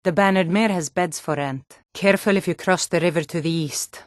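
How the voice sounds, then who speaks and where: A hardy Nord townswoman of a fantasy game world, acted by a woman, speaking. She is firm, deliberate and plain-spoken, with no nonsense about her. The sound is close and very dry, with no room around it. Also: on the low side, no room sound, close to an everyday voice. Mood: steady, watchful. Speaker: video game voice actor. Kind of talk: scripted fantasy game dialogue.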